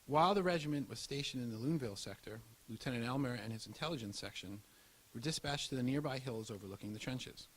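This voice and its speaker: A man's voice: mid-range voice, fast talker, poor audio. A man delivering scripted historical narration in the manner of a documentary. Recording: audible background hiss.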